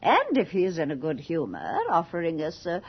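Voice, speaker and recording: An actress in a radio drama playing an imperious, callous society hostess with a haughty, theatrical, commanding way of speaking. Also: female voice, deep voice, huge pitch swings, normal speed, slightly rough, heavily acted. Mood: haughty, amused. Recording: dull 1980s radio drama recording.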